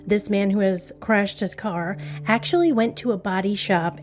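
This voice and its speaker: A woman's voice: mid-range voice, a little mellow. Woman telling true crime over music. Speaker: true crime podcast host. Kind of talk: conversational true crime storytelling. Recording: background music under voice.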